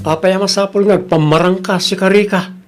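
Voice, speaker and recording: An actor playing a blunt, grumbling middle-aged husband and uncle in an Ilocano radio comedy-drama, with a Filipino accent. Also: male voice, sits high, steady tone, a little fast, over music, natural voice. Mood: scolding.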